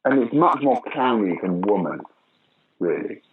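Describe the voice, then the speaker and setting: A man's voice: on the low side, unhurried, narrow, tinny audio, slight background noise. An older British man, a pantomime dame actor, telling stage stories in a casual interview. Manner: storytelling, animated anecdotes.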